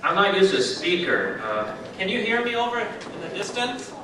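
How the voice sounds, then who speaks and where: A man's voice: high-pitched, sing-song, moderate pace, bright, forceful tone, a little hiss or hum. Middle-aged male speaker, chatty with the audience, distant PA recording in a very echoey hall. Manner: conversational, checks in with audience, many fillers. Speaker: speaker at a charity event. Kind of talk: informal speech to an audience. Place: event hall.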